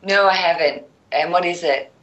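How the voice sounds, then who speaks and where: A woman's voice: fairly low pitch, normal speed, a bit bright, muffled recording, a little hiss or hum. A woman speaking as a guest in a casual radio chat. She makes her points emphatically, then trails off into near whispers. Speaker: show guest.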